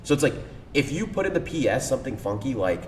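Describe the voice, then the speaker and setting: A man's voice: very animated, fast. A man talking casually as a podcast guest, enthusiastic and slangy, with lots of fillers. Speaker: cold email agency owner, guest. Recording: echoey room, distant mic, faint rumble.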